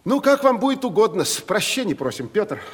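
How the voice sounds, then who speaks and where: An actor in a theatrical radio play, playing a sly, stubborn provincial timber merchant with a folksy, mock-deferential way of haggling. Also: male voice, sits high, very animated, fairly quick, husky, exaggerated voice. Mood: stubborn, smug. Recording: reverberant 1970s radio play studio.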